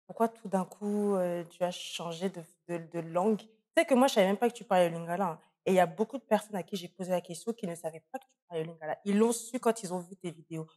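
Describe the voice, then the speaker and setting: A woman's voice: on the low side, fast, dark tone. A woman hosting an interview, asking her questions in a playful, teasing way. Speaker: interviewer, host.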